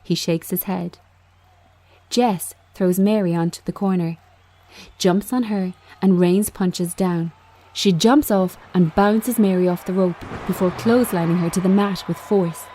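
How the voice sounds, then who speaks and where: A woman reads screen directions in a measured, unhurried way. Her voice is slightly low and very mellow, delivering short phrases of scripted narration. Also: no room sound. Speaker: screenplay reader.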